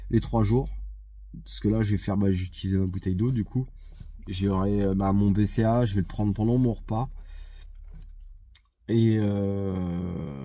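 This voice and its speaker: Low, slow-talking man with a head cold. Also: dark tone, no room sound. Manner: hesitant, many fillers and restarts. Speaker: vlogger. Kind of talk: casual vlog chat. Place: outside a gym.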